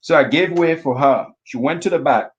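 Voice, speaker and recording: A middle-aged man from Africa telling a vivid personal story as a guest speaker, acting out his own inner thoughts in lively asides. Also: fairly high pitch, moderate pace, lo-fi sound. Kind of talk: storytelling talk.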